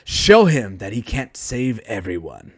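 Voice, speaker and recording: A man's voice: fairly low pitch, very animated, measured pace, husky, dry recording, exaggerated voice. A man voicing a gloating, vengeful supervillain in a superhero story, mocking and boastful. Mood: menacing. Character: Voltage, gloating vengeful male lightning supervillain.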